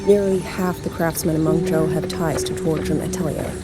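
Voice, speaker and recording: Woman acting solemn low-voiced female commander. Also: steady tone, lightly acted. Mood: formal, regretful. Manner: grave, deliberate, formal phrasing. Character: solemn female military commander, dutiful, careworn, composed. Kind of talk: video game voice acting. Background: prominent game music.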